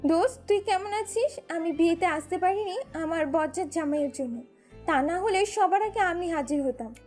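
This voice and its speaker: A woman's voice: extremely high pitch, normal speed, darkish tone, faint music behind, voice pushed up. A woman voicing a chatty, blunt young married sister in an audio story, gushing and cheeky. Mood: excited.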